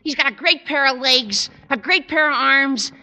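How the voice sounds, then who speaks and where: An actor playing an eager, cocky teenage high school boy in a 1950s radio sitcom, gushing in slangy, emphatic bursts. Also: normal speed, sharp tone, heavily acted. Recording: old radio recording in reverberant hall.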